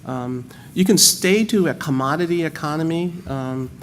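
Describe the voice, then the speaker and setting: A man's voice: fairly high pitch, moderate pace, slight background noise. A man with an American accent speaking animatedly on a panel, repeating words as he thinks. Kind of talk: panel discussion.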